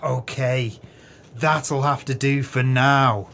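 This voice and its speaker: An actor in an audio play voicing a cautious, worried accomplice to murder. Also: male voice, mid-range voice, steady tone, slow, fairly bright tone, a little hiss or hum. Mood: grave, insistent. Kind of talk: audio drama acting.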